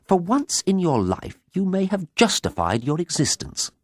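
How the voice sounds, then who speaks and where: A man's voice: sits high, very animated, moderate pace, dry recording, studio quality, voice pushed up. A man voicing a bossy, scheming woman in a storybook, making grand and haughty declarations.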